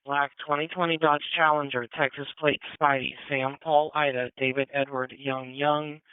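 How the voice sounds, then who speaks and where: A man's voice: on the high side, level tone, normal speed, smooth, no echo. Male police dispatcher on scanner radio. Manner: terse, procedural. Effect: two-way radio. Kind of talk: clipped police radio dispatch, repeating reports back. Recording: narrow-band police scanner radio audio.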